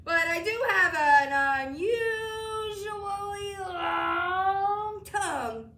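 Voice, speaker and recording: A woman's voice: very high-pitched, expressive, reverberant, background noise, pitched above the performer's own voice, full character voice. A woman voicing a big scruffy male storybook monster, slurping, whining and trying hard to sound terrifying. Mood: slobbery, boastful. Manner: slurpy, drawn-out, mock-terrifying. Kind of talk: children's storybook read aloud.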